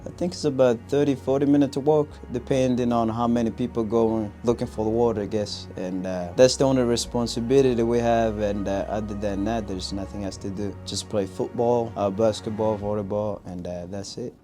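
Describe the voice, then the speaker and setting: A young man in his twenties speaks with a low voice, soft and unhurried, as he reflects in a documentary interview. Clear guitar music plays behind him. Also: fairly even intonation. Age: 20s.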